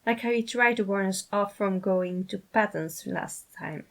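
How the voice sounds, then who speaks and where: A woman narrates fiction in a scripted storytelling style. Her voice sits at a medium pitch, and she reads as the narrator of a story. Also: a little slow. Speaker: writer and narrator.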